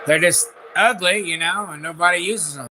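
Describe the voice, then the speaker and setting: A man's voice: high voice, moderate pace, loud music behind the voice. An American man casually showing off gear and making CB radio calls, with a slangy, folksy, enthusiastic manner. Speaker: CB radio hobbyist, live streamer. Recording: noisy room with radio static.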